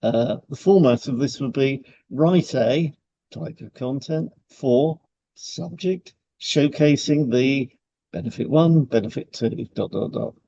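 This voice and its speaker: A man's voice: mid-range voice, takes it slowly, gravelly, mellow, slight background noise. A man co-hosting a podcast, reading explanatory material with chatty asides. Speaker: podcast co-host, hypnotherapist. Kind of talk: scripted podcast narration with informal asides. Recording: slightly dull, lo-fi sound.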